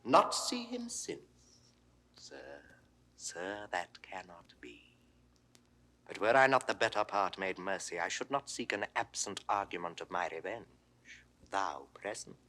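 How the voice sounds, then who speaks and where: An imperious usurping ruler with a British accent, menacing and widely varied in pitch. His voice is hard-edged and swings from hushed threat to thundering orders, in the classical manner of a 1960s recorded play. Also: very animated, normal speed, exaggerated voice. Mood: cold menace. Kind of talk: classical Shakespearean stage acting. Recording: 1960s studio audio drama recording.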